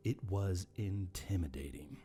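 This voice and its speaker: A man's voice: deep voice, expressive, measured pace, warm tone, husky. A man co-hosting a podcast, chatting casually with lots of swearing and stumbling through a story he is sight-reading. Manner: profane, joking, stumbles over words while reading. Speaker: podcast co-host.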